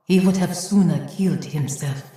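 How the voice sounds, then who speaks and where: A woman's voice: fairly low pitch, moderate pace, dark tone. An actress playing an eerie female apparition in a video game, solemn and insinuating, with a ghostly reverb on her voice. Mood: haunting. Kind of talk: dramatic game voice acting. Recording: long cavernous reverb, faint music.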